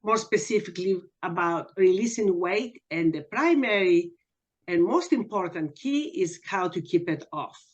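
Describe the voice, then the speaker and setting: A woman's voice: on the low side, animated, a little slow. A woman in her sixties from Greece, a guest hypnotherapist chatting and telling her story in a podcast interview. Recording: muffled lo-fi remote audio.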